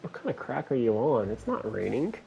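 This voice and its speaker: A man's voice: medium pitch, sing-song, a little fast, vocal fry, a little mellow, slight background noise, poor audio. A man streaming a video game with casual, reactive commentary, blunt and incredulous in manner.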